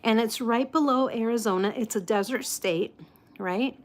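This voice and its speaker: A woman from the United States hosting a casual live chat, with slightly impeded articulation and a halting, unhurried manner. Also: a little high. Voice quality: slightly impeded articulation from a false tooth and past stroke. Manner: halting, unhurried, greeting viewers by name. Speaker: live stream host.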